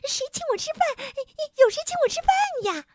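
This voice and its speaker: A woman voicing a tiny, greedy, excitable imp in a children's story, with a thin shrill voice and a stammering, exclaiming way of talking. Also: moderate pace, no room sound. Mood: desperate, whining.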